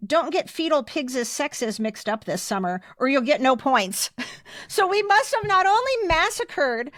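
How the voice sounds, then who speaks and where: A woman's voice: high-pitched, sing-song, a little slow, fairly bright tone, no echo. A woman in her fifties with an American accent, telling personal stories casually and emphatically as a podcast co-host. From United States. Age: 50s.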